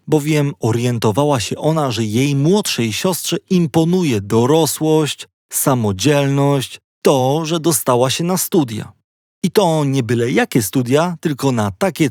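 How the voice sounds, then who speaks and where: A man narrating a scripted children's story with expressive storytelling delivery. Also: fairly high pitch, dry recording, studio quality. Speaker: audiobook narrator.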